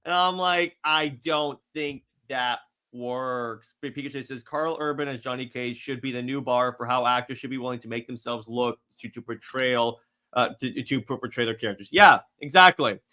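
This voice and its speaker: A man's voice: medium pitch, normal speed, bright, forceful tone. A man co-hosting a fan podcast, casually reading out and answering viewer questions with enthusiastic opinions. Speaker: podcast co-host.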